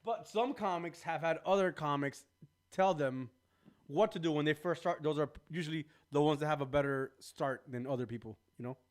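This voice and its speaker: Brash, high-voiced Cuban-born man, swearing. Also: moderate pace. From Cuba. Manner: brash, profane, laughing, talks over the host. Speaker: podcast guest, comedian. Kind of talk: casual chat.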